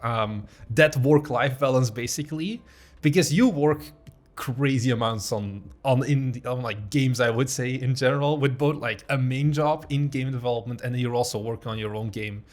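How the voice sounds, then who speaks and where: A man hosting a casual interview at an ordinary middle pitch. He teases his guest and jokes. His questions are full of fillers. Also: moderate pace. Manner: teasing, joking, filler-heavy questions. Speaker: host, interviewer.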